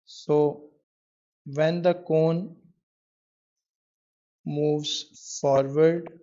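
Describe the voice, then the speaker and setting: A man tutoring in physics, explaining an exam question in a measured way with pauses as he writes. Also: fairly high pitch, fairly even intonation, dark tone. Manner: measured, pausing while writing out answers. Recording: dull lo-fi microphone sound.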